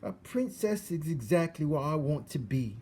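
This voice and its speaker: A naive, uneducated young servant girl from a storybook as a man plays her. She exclaims loudly and excitably in rustic phrases, pitched high and leaping about. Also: moderate pace, a little hiss or hum. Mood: eager. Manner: loud, rustic, ungrammatical exclaiming. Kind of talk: storybook character voice.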